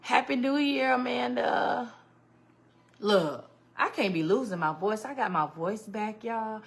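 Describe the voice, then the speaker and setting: A woman's voice: a little high, animated, unhurried, creaky. A woman hosting a casual live stream, chatting animatedly with viewers, greeting them by name and laughing often. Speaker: livestream host. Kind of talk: casual livestream chat with viewers.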